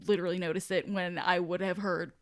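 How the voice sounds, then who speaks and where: Low-pitched woman chatting on a podcast. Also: steady tone, fast, no room sound, clean studio sound. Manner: rambling, self-deprecating, mildly sweary. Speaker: podcast co-host. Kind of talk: casual podcast discussion.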